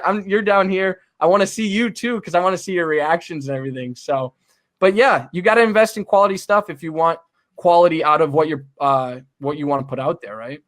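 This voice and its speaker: Young male guest, booming voice a touch high, eager and rambling, on a home podcast mic. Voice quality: booming loud voice. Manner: enthusiastic, many fillers and restarts. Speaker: chiropractic student guest. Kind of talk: casual interview over video call. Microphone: desktop USB podcast mic.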